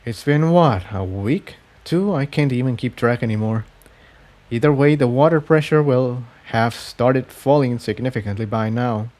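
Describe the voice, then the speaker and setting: A man's voice: mid-range voice, normal speed, a little mellow, natural voice. Man voicing an earnest, burdened stallion. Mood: brooding. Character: earnest, burdened male pony, former water department head.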